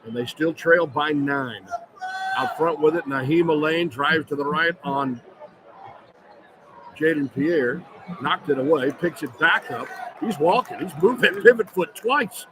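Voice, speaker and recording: Over clear arena noise, a man with a slightly high voice gives live basketball play-by-play on the radio. He is the announcer, following the action from courtside in a big indoor venue. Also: unhurried. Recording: noisy live arena broadcast.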